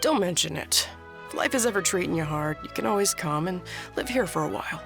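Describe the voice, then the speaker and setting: An actor playing a relaxed, friendly villager in an anime game, laid-back and reassuring. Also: mid-range voice, brisk, vocal fry, over music. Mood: easygoing. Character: relaxed, friendly villager enjoying a restful retreat. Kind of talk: English dubbed anime game acting.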